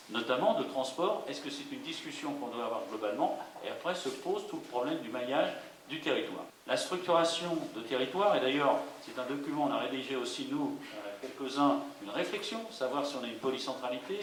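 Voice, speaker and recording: Echoey male official speaking at a public debate. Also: mid-range voice. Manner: explanatory, poses rhetorical questions. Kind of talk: unscripted political speech at a public debate. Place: public meeting hall. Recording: echoey hall, faint hiss.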